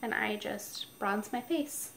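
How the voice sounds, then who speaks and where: A woman's voice: medium pitch, takes it slowly, creaky, fairly bright tone, slight background noise, poor audio. A woman with a stuffy nose, chatting casually through her makeup routine step by step while recording at home. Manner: chatty, explains each step. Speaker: beauty vlogger. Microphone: noisy mic.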